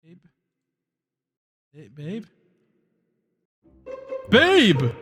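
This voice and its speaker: A woman plays an affectionate, unbothered dog husband from a furry visual novel. The voice is mid-pitched and lively in melody but takes its time, soothing and coaxing in a casual way. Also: very slow, slightly rough, faint music behind, exaggerated voice. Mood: concerned. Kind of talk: visual novel character acting.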